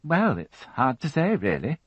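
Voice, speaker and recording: A man's voice: medium pitch, huge pitch swings, unhurried, slightly rough, no echo, pitched above the performer's own voice, full character voice. A man voicing a fussy, prim middle-aged housewife who chatters and turns indignant, in a storybook reading. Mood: chatty. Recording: dull, dry studio audiobook.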